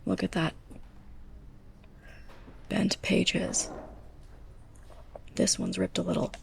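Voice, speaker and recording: A young woman playing a sarcastic, scolding, snobbish librarian's assistant in a close-up ASMR roleplay, breathy and often near a whisper. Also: lowish voice, sing-song, very slow, background noise, exaggerated voice. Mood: annoyed, sarcastic. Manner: sarcastic, exasperated, pointed delivery.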